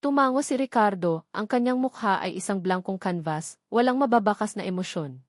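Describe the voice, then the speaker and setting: A woman's voice: mid-range voice, lively intonation, a little fast, no echo. Filipino woman narrating a dramatic story, dry sound.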